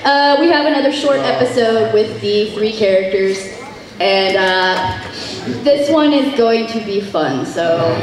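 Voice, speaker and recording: A woman's voice: a little high, lively intonation, slow pace, a crowd in the background. A woman presenting casually to a live convention panel. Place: large convention hall. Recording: echoey hall, distant, audience noise.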